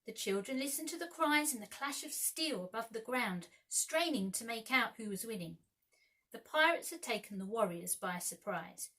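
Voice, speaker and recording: A woman narrating a children's storybook aloud with expressive, deliberate dramatic phrasing. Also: mid-range voice, rough recording. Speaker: primary school teacher reading aloud.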